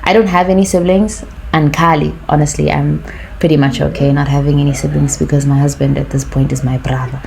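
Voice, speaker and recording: A woman's voice: sits low, fairly even intonation, brisk, vocal fry, darkish tone, a little hiss or hum, rough recording. A young woman with a South African Xhosa accent chatting casually for a personal vlog, slipping between English and isiXhosa. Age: young adult. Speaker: vlogger.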